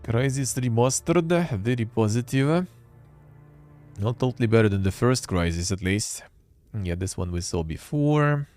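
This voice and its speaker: A man's voice: mid-range voice, expressive, unhurried, darkish tone, faint music behind. A man hosting a live stream, chatting offhandedly and reading text aloud with wry asides. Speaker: streamer and show host. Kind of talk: casual live-stream commentary.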